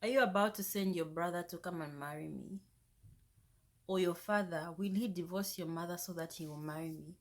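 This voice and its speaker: A young woman, vlogging in a casual, animated rant that turns indignant. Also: on the low side, a little fast. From Zambia. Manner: animated, indignant, rises sharply when exasperated. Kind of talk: casual ranting vlog.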